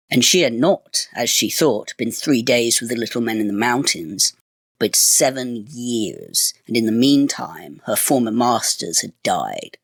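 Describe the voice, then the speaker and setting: A male storyteller reads scripted fairy-tale narration. His mid-pitched voice moves up and down with plenty of expression, phrased in measured steps, and the sound is dry and close. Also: a bit bright, slightly rough, no room sound.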